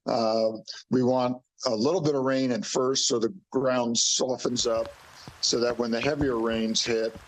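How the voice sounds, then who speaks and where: A man's voice: medium pitch, steady tone, measured pace, low-quality recording. A man explaining things in unscripted answers for a news interview.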